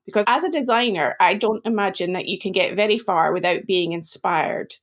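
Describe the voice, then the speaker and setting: A woman hosting a podcast, introducing her guest and asking gentle, open questions. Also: medium pitch, a little fast. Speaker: podcast host. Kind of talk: interview hosting.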